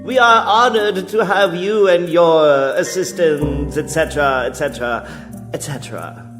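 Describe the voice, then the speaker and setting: An actor playing a pompous, self-amused alien crown prince in a radio play, speaking formally and trailing off. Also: male voice, on the high side, a little slow, fairly bright tone. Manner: formal, grandiose, trailing off mid-speech. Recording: music behind the voice. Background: music.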